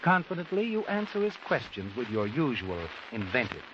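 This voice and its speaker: An actor playing a sardonic, knowing narrator in dramatic 1940s radio suspense style. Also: male voice, medium pitch, sing-song, normal speed, noisy background, lightly acted. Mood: mocking, knowing. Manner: insinuating, dramatic. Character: sardonic, knowing male narrator, needling the guilty. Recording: muffled old radio broadcast, music behind.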